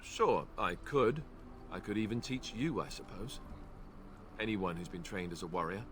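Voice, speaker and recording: An awkward, earnest young knight voiced by a man talks in a hesitant, self-effacing ramble in a game. His voice sits a little low. A faint hum runs behind him. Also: normal speed, fairly bright tone, lightly acted. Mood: helpful. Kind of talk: game character dialogue.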